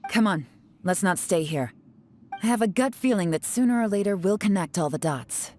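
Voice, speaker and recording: A woman playing a shrewd, composed adult female adventurer in a video game, speaking deliberately. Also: mid-range voice, animated, background noise, lightly acted. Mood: wary. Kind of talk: English game dub cutscene acting.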